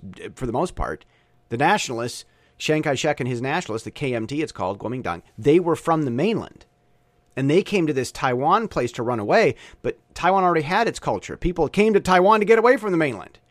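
Animated rambling man with a lively voice. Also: fairly high pitch, no room sound. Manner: rambling, animated, frequent restarts. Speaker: podcast host. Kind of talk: casual monologue. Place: Taiwan.

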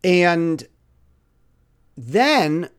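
Male, higher-than-average pitch, loose rambling solo talk, excitable delivery with emphatic repetition. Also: drawn-out words, clear, resonant tone. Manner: repeats words and phrases emphatically, excitable. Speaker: musician with an online channel. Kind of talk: casual rambling monologue.

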